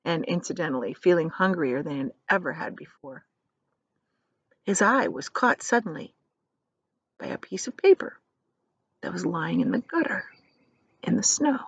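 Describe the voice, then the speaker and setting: A woman reads a children's story aloud, expressively, with deliberate, dramatic emphasis on key words. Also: fairly low pitch, lively intonation, breathy edge, no room sound, highs cut off. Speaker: teacher reading aloud.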